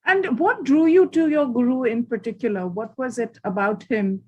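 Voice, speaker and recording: A woman's voice: on the high side, moderate pace, darkish tone, dull audio. A woman host asking interview questions in a conversational, somewhat hesitant way.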